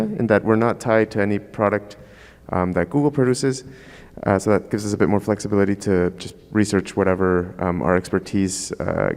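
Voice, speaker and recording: A man from Ecuador speaks on a conference panel in a slightly low, very clear voice. He is thoughtful and measured, with frequent ums. A long hall reverb follows his words. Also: a little mellow. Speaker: panelist, researcher.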